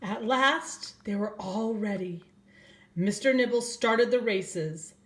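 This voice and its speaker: An older woman, a grandmother, reading a children's storybook aloud and speaking directly to young children. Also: medium pitch. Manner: slow, addressing young children, asking them questions.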